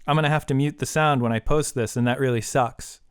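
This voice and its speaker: A man voicing a brash, flippant young woman with slangy, excitable delivery in an audiobook story. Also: mid-range voice, a little fast, clean tone, no echo, clean studio sound, natural voice. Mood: annoyed.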